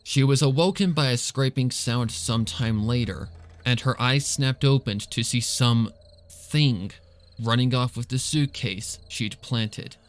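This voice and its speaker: A man's voice: mid-range voice, a little slow. A man reading a suspenseful story as narrator, in a scripted dramatic storytelling style. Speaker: fan fiction reader and narrator.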